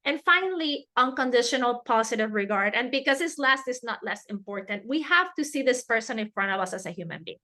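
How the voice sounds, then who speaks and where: A woman with a Spanish accent teaches a webinar over a video call. Her voice sits a little high and sounds bright and clear. She talks earnestly and repeats key words to drive them home. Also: expressive, fairly quick, hardly any pauses. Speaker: webinar presenter.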